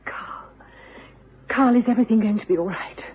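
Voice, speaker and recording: An actress playing a tender, anxious lodger in a radio drama, hesitant and emotional. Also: female voice, a little high, moderate pace, slight background noise, lightly acted. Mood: anxious, sorrowful. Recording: muffled phone-like old radio recording.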